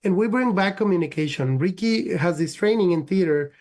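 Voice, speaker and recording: A man's voice: high voice, darkish tone. A man whose first language is Spanish, a guest chatting casually in an interview and dropping "like" often. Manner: quick, filler-heavy speech with many "like"s. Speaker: race winner guest.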